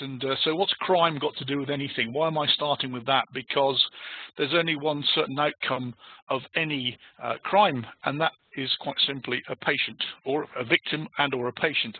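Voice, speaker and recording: The sound is narrow and phone-like, with little room around it. A British man speaks at a medium pitch, giving a webinar presentation. His delivery is measured, with dry humour. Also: dry recording. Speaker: presenter, chief operating officer. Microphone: phone or web conference line. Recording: tinny phone-like line.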